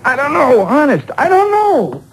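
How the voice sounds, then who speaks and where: An American actor playing a nervous, weaselly small-time stooge who stammers and pleads in an old-time radio drama. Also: male voice, very high-pitched, huge pitch swings, normal speed, full character voice. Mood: pleading, evasive. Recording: noisy old radio show taped off air.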